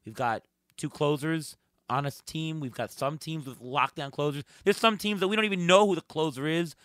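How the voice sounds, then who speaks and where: Bright, hoarse male podcast host. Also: on the high side, moderate pace, dry recording, clean studio sound. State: slightly hoarse. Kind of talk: casual sports talk with a co-host and guest.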